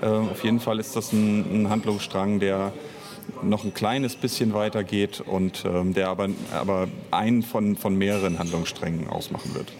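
A man's voice: lowish voice, fairly even intonation, moderate pace, crowd noise. A man speaking as an interview guest in casual conversation, searching for words as he explains at length. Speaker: interview guest, audio drama author and director.

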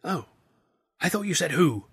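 A man voicing a prim young woman stage assistant who scolds in a hushed whisper. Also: fairly high pitch, big pitch swings, moderate pace, vocal fry, husky, pitched above the performer's own voice, heavily acted. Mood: hissing.

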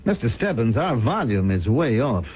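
A man's voice: mid-range voice, sing-song, moderate pace, highs cut off, a little hiss or hum, natural voice. An actor playing an ambitious, brash company boss who recounts his own rise with wry, salesman-like patter in a 1970s radio drama. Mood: brash, pushy. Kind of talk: 1970s radio drama acting with first-person narration. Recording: band-limited 1970s radio broadcast with music.

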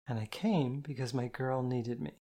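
A reader voicing a calm, caring dominant woman who speaks firmly and gently, in measured commanding sentences. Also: medium pitch, close to an everyday voice.

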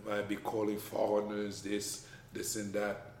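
A man with a French accent talking in a casual conversation, emphatic and animated, his voice rising when he makes a point. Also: lowish voice, unhurried, vocal fry. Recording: echoey room, faint background noise.

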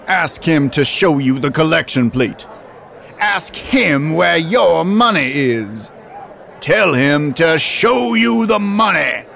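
Gravelly, slow, brazen church deacon. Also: male voice, a little high, expressive, fairly bright tone, slight background noise, exaggerated voice. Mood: accusing. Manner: blunt, accusing, shameless. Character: brazen, accusing, shameless male church deacon. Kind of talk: comedic audio drama.

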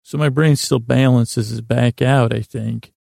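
A man hosting a sleep podcast in a rambling, halting bedtime monologue, losing his thread and restarting in a gravelly voice. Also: mid-range voice, fairly even intonation, a little mellow, no echo, studio quality. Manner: meandering, halting, self-interrupting, absent-minded.

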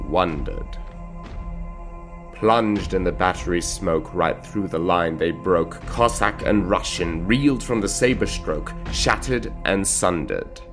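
A man giving a solemn, declamatory dramatic recitation of poetry. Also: on the low side. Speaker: poetry reader. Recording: music clearly under the voice. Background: music.

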